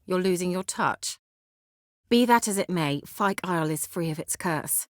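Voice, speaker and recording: An actress voicing a haughty, witty, sly sorceress in a video game, with an arch, formal and sardonic way of talking. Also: female voice, fairly low pitch, lively intonation, normal speed, no room sound, clean studio sound, close to an everyday voice. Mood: wry, composed. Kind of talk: video game character dialogue.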